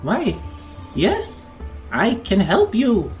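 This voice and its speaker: Tabletop game creature, played by a man: eager feathered bird merchant, high voice, patchwork of copied phrases, light music behind. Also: wildly swooping pitch, drawn-out words, exaggerated voice. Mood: eager, welcoming. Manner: stitches together copied stock greeting phrases.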